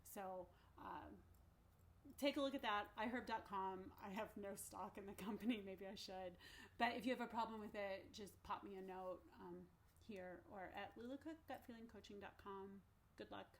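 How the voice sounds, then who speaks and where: A woman's voice: medium pitch, normal speed. A woman hosting an online recovery meeting as a nutrition therapist, chatty and encouraging, with lots of "like" and "um". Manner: chatty, says "like" and "um" often, upbeat and encouraging. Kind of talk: casual informative talk. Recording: faint background noise.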